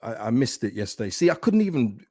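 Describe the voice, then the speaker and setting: A man with a deep, soothing voice hosting a football fan channel, chatting in a casual, rambling way as he talks with viewers. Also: big pitch swings, fast talker, warm tone, dry recording, studio quality. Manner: conversational, rambling. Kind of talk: casual livestream chat, reading and answering viewer comments.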